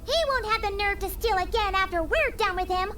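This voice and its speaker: A woman voicing a small, excitable, girlish companion creature in an anime-style game, eager and feisty. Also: very high voice, a little fast, very noisy. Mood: determined, feisty.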